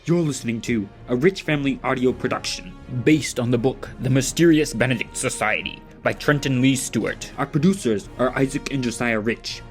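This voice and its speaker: A man's voice: medium pitch, moderate pace, few pauses, faint music behind. A man announcing the credits of an audio drama in a scripted read.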